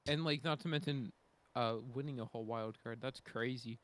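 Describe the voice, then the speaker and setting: A man chatting casually as a podcast panelist, agreeable and quick to concede a point. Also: medium pitch, fairly bright tone. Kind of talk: casual chat.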